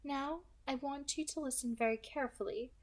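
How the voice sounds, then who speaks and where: Soft alto villainess, as a woman reads her. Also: high voice, normal speed, pitched above the performer's own voice, close to an everyday voice. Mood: coolly menacing. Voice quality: soft alto, pleasant and smooth. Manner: light, friendly, quietly threatening. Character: soft-spoken, menacing woman kidnapper, calm and polite.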